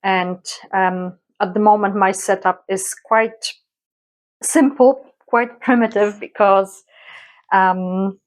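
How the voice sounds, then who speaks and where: Slow, hesitant woman with a Polish accent. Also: medium pitch, fairly even intonation. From Poland. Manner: hesitant, many fillers, slow and thoughtful. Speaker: YouTuber. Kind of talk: casual introductory vlog.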